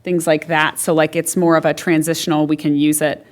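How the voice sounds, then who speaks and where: A woman's voice: deep voice, little pitch movement, a little fast. A woman presenting options at a public meeting in a council chamber, chatty and full of "like" and fillers.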